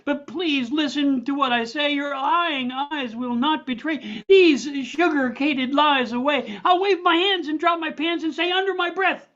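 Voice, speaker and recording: A man's voice: very high voice, unhurried, few pauses, a bit bright, voice pushed up. A man voicing a foolish, shameless leader who shows off and lies in a mocking, theatrical singsong, in a satirical poem. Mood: brazen, mocking. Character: foolish, shameless leader brazenly showing off and lying. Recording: dull online call audio.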